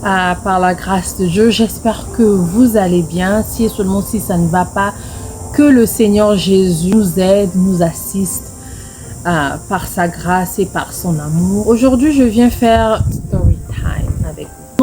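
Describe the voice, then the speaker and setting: A woman's voice: mid-range voice, dark tone, low-quality recording. A middle-aged woman with a Congolese French accent tells a personal story casually, repeating phrases for emphasis. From Congo-Brazzaville. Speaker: video storyteller.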